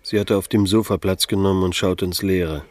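An actor playing a dry, disillusioned, stubborn private detective in a radio drama, laconic and deadpan in dialogue and narration. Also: male voice, low voice, a little fast, natural voice. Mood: brooding narration.

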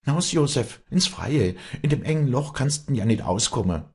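A male narrator plays a proud young woman of the mountains in an audiobook drama. Her voice is pushed well up and swings widely, with a husky grain. She speaks an emphatic Bavarian-Austrian Alpine dialect, fiery and protective. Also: lowish voice, big pitch swings, moderate pace, a little mellow, voice pushed up, exaggerated voice. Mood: alarmed. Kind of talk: dramatic audiobook character dialogue.

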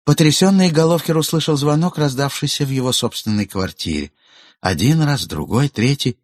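A man reading literary prose aloud as an audiobook narrator, in a measured, ironic storytelling manner. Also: medium pitch, lively intonation, no echo, clean studio sound.

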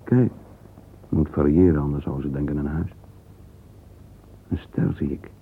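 Pensive, sensual middle-aged man in radio monologue. Very low, creaky, halting murmur; muffled phone-like recording. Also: fairly even intonation, exaggerated voice. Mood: musing. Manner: halting, murmured thinking aloud. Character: musing middle-aged man, sensual, curious, alone with his thoughts. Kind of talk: radio drama interior monologue. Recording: muffled phone-like old recording, faint hiss.

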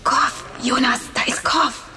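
A female ninja android in a science fiction radio play. The voice is high, brisk and focused, with music clearly behind it. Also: fairly even intonation. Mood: concerned.

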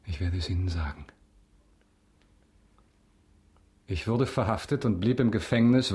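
Male actor as avenging nobleman, low voice, stern, bitter, formal delivery, radio play. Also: darkish tone, lightly acted. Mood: accusing. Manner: formal, grave, measured. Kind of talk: German radio drama acting.

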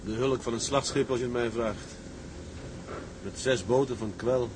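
A man's voice: medium pitch, normal speed, noisy background, lightly acted. An actor playing an earnest tugboat seaman in a radio drama. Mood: sober, matter-of-fact. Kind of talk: Dutch radio drama acting.

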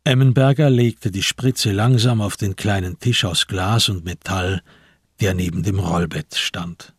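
A man narrating a literary crime story for a radio play in a husky voice, measured and grave. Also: deep voice, no echo, studio quality. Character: sober male storyteller of a crime tale.

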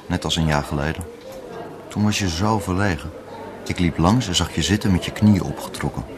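An actor playing a wistful man clinging to his former lover, gentle and musing, in a naturalistic radio drama. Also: low voice, a little fast, noisy background, close to an everyday voice. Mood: fond, nostalgic.

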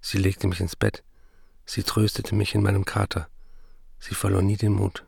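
An actor playing a troubled writer confessing tenderly and remorsefully to his wife in a German radio play, intimate and measured. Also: sits low, level tone, gravelly, breathy edge, heavily acted. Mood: rueful. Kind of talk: German radio play, reading letters and memoirs.

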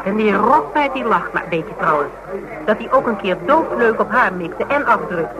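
An actress playing a streetwise, sharp-witted woman with colloquial, dry delivery in a naturalistic radio drama. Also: medium pitch, expressive, normal speed, lightly acted, low-quality recording. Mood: offhand, animated.

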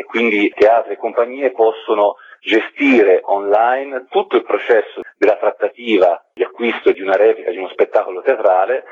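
Low-voiced man on a muffled phone line. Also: slightly rough. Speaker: guest, theatre company artistic director. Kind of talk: interview explanation of a project. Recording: muffled, narrow telephone line into radio.

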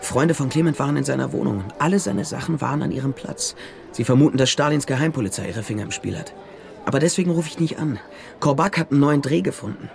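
An actor playing a wry, laconic private detective in a German radio crime drama, narrating and talking dryly. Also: male voice, medium pitch, fast talker, creaky, lightly acted. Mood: businesslike. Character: Nestor, wry, dogged male private detective. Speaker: detective narrator and lead character.

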